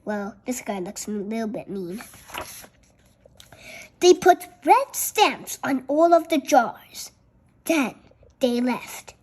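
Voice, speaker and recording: A storyteller reading a picture book aloud in a careful, halting way, with childlike, chatty asides about the pictures. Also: mid-range voice, sing-song, a little mellow.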